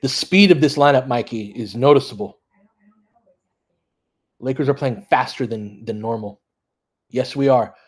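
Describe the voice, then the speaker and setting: Slightly husky man casually calling basketball. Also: medium pitch, unhurried, pauses often. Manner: conversational, analytical, informal with mild swearing. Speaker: host of a fan audio play-by-play stream. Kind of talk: casual live basketball commentary, chatting with listeners.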